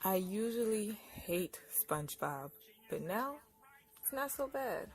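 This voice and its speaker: A woman's voice: medium pitch, animated, slow. An actress playing a young married woman in an audio drama, narrating and talking in a wry, conversational way. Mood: reflective. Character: Iris, weary young married woman narrating her own story. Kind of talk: first-person audio drama narration and dialogue.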